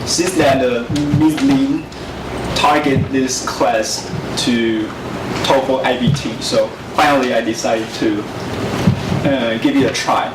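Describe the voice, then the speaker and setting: A young man, giving a student presentation in a class, halting, with many fillers and self-corrections. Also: mid-range voice. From Taiwan. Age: 20s. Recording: echoey, distant mic with noise.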